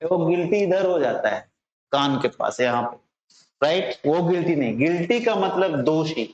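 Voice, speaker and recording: High-pitched Indian man teaching, echoey room. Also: lively intonation, a little mellow. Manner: repeats slowly, often checks understanding. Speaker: English teacher. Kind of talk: informal spoken lesson. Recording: roomy echo, slightly dull sound.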